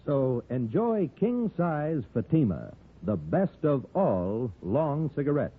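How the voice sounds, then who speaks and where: A man reading a 1950s radio advertisement as an emphatic pitchman. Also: big pitch swings, slow pace. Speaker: commercial pitchman. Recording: muffled vintage radio recording.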